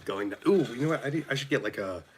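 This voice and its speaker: A young man chatting on a live stream, nasal and dry-throated from a cold, rambling and reading viewer messages haltingly. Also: medium pitch, expressive, noisy background. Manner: rambling, chatty, reads chat aloud haltingly. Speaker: livestreamer.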